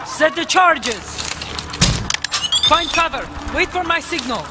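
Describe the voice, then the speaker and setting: High-pitched woman fighter shouting orders. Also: lively intonation, slow pace. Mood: urgent, commanding. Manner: shouting commands. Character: urgent female combatant barking battle orders. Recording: loud music and vehicle noise.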